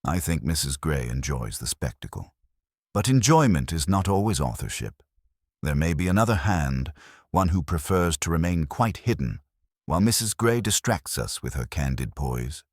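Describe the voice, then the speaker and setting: A man performs a courteous police inspector from a mystery story. His very deep voice rises and falls expressively while staying gentle and formal. He chooses each phrase with calm deliberation, in a dry, close sound. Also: moderate pace, no room sound, heavily acted. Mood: grave, deliberate.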